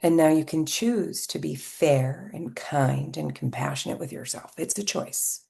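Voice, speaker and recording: Woman hypnotherapist with a low, warm voice, unhurried and calming, leaving gentle pauses. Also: gravelly. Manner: slow, soft and soothing, with gentle pauses. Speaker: hypnotherapist guiding a session. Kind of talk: guided hypnosis session.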